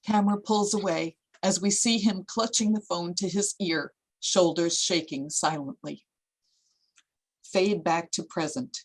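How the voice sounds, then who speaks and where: A woman narrates the stage directions of a screenplay. Her voice is fairly low and raspy, and her delivery is measured and descriptive.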